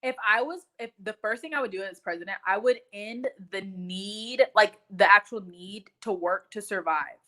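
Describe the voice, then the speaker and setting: A woman from Texas chatting casually in an animated, opinionated, emphatic way, swearing freely. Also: mid-range voice, normal speed, hardly any pauses. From Texas, United States. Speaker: live stream host. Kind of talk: casual hangout chat.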